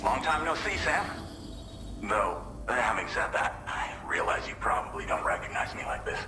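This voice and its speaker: Measured, echoey research contact. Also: male voice, on the low side, sing-song. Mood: calm, appreciative. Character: courteous, familiar male research lab contact. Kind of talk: video game cutscene. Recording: echoey, music behind.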